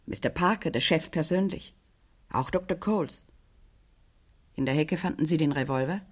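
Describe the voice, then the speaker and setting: A guarded, troubled widow in a radio crime drama. Her voice sits fairly low and is distinctly husky. She speaks haltingly, in short, dramatic phrases. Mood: bitter, subdued. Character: troubled, guarded widow confiding in a young neighbour girl.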